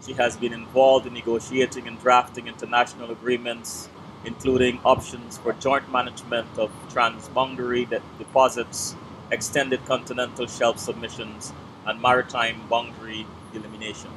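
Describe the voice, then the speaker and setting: A man hosts a formal interview in a measured, even voice that sits at a middle pitch. Behind him is a steady hum of air conditioning. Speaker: talk show host. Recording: noisy background hum.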